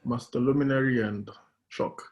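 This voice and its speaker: A man's voice: medium pitch, fairly even intonation, normal speed, darkish tone. A man from Kenya coordinating an online class, joking and chatting casually on a video call. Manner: joking, talks in restarts. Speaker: course coordinator.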